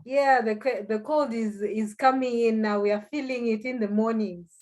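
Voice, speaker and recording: A woman's voice: fairly high pitch, steady tone, moderate pace, a little mellow. A woman with a Zimbabwean accent, a native Shona speaker, giving a conversational personal account as an interview guest, with hesitant phrasing and repetition. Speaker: interview guest, language school founder.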